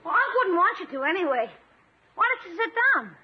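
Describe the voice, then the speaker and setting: Cheeky schoolboy nephew, very high lively voice, wisecracking and slyly innocent, vintage radio comedy on a muffled recording. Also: fairly quick. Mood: falsely innocent. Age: child figure. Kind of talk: 1950s radio comedy acting. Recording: muffled old radio broadcast.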